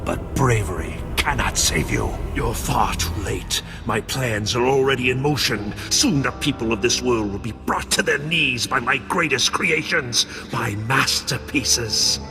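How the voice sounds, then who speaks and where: A menacing video game mad scientist, half machine and full of grandiose contempt. His medium-pitched, theatrical voice rings in long reverb over clearly audible music. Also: lively intonation, measured pace, few pauses. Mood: gloating menace. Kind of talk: video game cutscene acting.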